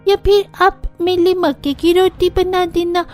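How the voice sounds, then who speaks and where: A woman's voice: very high voice, little pitch movement, unhurried, soft background music, voice pushed up. A woman voicing an eager little child with a pleading manner in a cartoon story. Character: eager little child asking mother for food.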